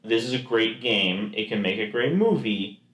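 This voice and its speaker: A man's voice: on the low side, animated, moderate pace, fairly bright tone. A man co-hosting a podcast, chatting casually and enthusiastically, often restarting his sentences. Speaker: podcast co-host. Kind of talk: casual chat. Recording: roomy echo.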